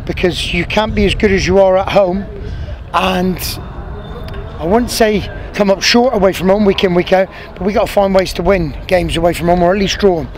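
A man in his thirties with an English accent, a football manager speaking frankly after a match, repeating himself and restarting phrases, recorded pitchside in an outdoor stadium. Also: normal speed, rough recording. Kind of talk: post-match interview. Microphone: handheld interviewer mic, medium framing. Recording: outdoor noise and passing traffic.

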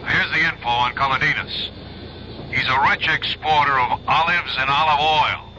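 Piercing, forceful detective chief. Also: male voice, on the high side, normal speed. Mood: brisk, businesslike. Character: brisk, authoritative male chief of federal detectives. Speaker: radio drama actor. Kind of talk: 1970s radio drama acting. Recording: dull, faintly hissy 1970s radio recording.

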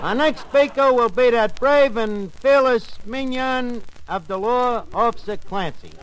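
A man's voice: very high-pitched, unhurried, some chatter behind. A man acting a brash tavern manager in an old radio comedy, with a New York accent and mangled grand words. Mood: mock formal. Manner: mangled grand words, folksy slangy grammar. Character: brash, malaprop-prone tavern manager playing master of ceremonies. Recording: noisy old-time radio recording.

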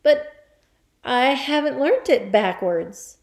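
Woman as a high, panicky little schoolboy. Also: very animated, slow, heavily acted. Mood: bewildered. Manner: stammering, crying out in panic. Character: frightened, flustered young schoolboy, pleading. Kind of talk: children's storybook character reading.

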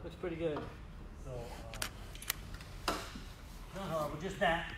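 A man's voice: fairly high pitch, steady tone, slow, background noise. A man inspecting an aircraft, explaining what he finds in a practical, plain-spoken way and directing others as he works. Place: aircraft hangar. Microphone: camera at some distance, livestream. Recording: echoey hangar, from far off, loud background chatter.

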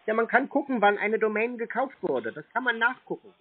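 A man with a husky voice, talking casually and confrontationally, blunt, insistent and sceptical. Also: high voice, lively intonation, fairly quick, phone-quality sound. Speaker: scam baiter confronting a phone scammer. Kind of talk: casual confrontational phone conversation.

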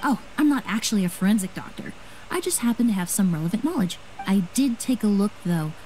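A woman voicing a composed, courteous young investigator in a video game, speaking with measured, precise politeness and gentle sympathy. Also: mid-range voice, expressive, slight background noise, close to an everyday voice, rough recording. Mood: measured, analytical.

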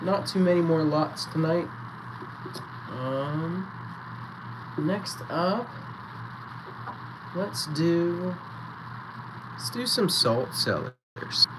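A man hosting a live-streamed auction, chatting casually and counting down bids, with a voice going raspy. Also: a little high, animated, extremely slow, pauses often, background noise. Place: small indoor photo booth setup.

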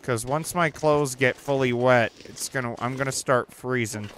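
A young man giving casual, chatty commentary over a survival game, reacting out loud and swearing now and then. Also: mid-range voice, moderate pace, a little hiss or hum. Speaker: gaming channel host. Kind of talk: casual let's-play commentary. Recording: faint game ambience behind.

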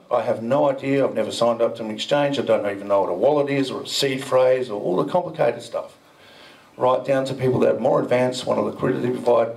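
A man's voice: medium pitch, gravelly, a little mellow, low-quality recording. A middle-aged man giving a joking, self-mocking talk at a meetup, speaking into a handheld mic in a dim event room. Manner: cheeky, self-mocking, banters with the audience. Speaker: presenter at a blockchain meetup.

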